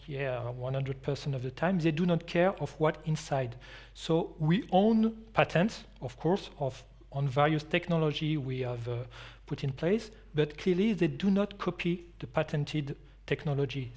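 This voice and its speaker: A man's voice: a little high, darkish tone. A man giving a conference presentation at an industry expo and answering audience questions, with non-native phrasing and frequent restarts. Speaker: company anti-counterfeiting presenter.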